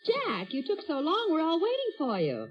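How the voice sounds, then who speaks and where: A woman acting in an old radio comedy, playing a brisk, familiar friend. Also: very high voice, huge pitch swings, full character voice. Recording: dull lo-fi old radio recording.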